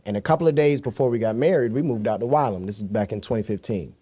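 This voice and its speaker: A man's voice: lowish voice, fast talker, mellow, breathy edge. A man in his thirties from Florida, moderating a panel in a conversational way with meandering, anecdotal lead-ins. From Florida, United States.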